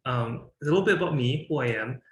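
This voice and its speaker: A man's voice: mid-range voice, animated, fast talker, a bit bright, reverberant. A man from Singapore in his thirties, giving a presentation and talking with many fillers and restarts. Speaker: guest speaker.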